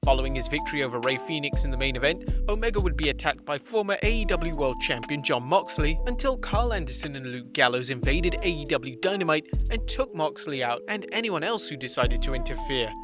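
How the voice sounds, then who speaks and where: A man's voice: a little high, animated, fairly quick, hardly any pauses. A man narrating scripted wrestling news with casual asides. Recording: voice-over with loud background music.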